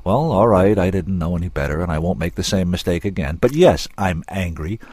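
A man's voice: low voice, a little fast, darkish tone, no room sound. A man voicing an angry, driven male inventor, blunt and emphatic, in an audiobook story. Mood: bitter, impassioned. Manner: emphatic, impassioned, barking.

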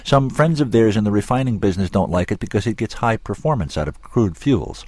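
A man's voice: on the low side, animated, a little fast, a little mellow, no room sound. A man voicing an angry, driven male inventor, blunt and emphatic, in an audiobook story. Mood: bitter, impassioned. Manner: emphatic, impassioned, barking.

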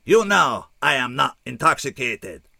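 A man voicing a towering, tormented alien scout who speaks slowly and formally with an odd, unplaceable accent, pitched well above the reader's own voice. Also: very animated, gravelly, pushed and bright, breathy edge, no room sound, clean studio sound, full character voice. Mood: grave. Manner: slow, formal, oddly accented speech.

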